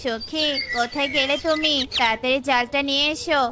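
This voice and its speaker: A woman's voice: high voice, fairly quick, very noisy, lightly acted. A woman voicing a scheming, distrustful and greedy male pigeon in a children's cartoon. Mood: suspicious. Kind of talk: animated children's cartoon dialogue.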